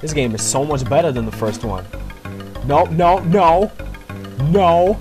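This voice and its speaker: Excitable, swooping young male gamer. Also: on the high side, measured pace. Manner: profane, slangy, excitable, talking to himself. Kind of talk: casual gameplay commentary. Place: at home at night. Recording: music behind the voice. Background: music.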